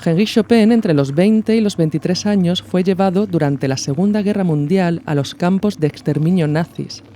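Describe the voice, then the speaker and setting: A male podcast host narrates from a script in an explanatory way. His voice sits a little high for a man, with a mellow, relaxed tone. The phrasing is measured and educational. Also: a little hiss or hum.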